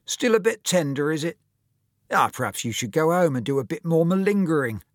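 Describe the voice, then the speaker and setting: A man's voice: on the high side, animated, fairly quick, no room sound, clean studio sound, voice pushed up, close to an everyday voice. A man voicing a mocking, menacing schoolboy bully who sneers and snarls in a storybook reading.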